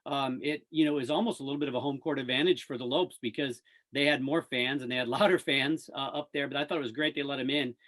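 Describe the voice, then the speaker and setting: A man's voice: medium pitch, fairly even intonation, fast, hardly any pauses, fairly bright tone. A man speaking as a radio play-by-play announcer in a casual, enthusiastic sports interview.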